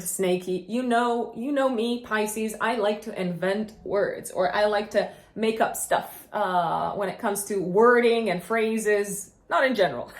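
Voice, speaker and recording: A woman who is a native Romanian speaker, chatting casually and playfully as an astrologer giving a horoscope, thinking aloud as she goes. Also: medium pitch. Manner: informal and playful, thinks aloud with repeated words. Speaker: astrologer and host. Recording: echoey, reverberant room.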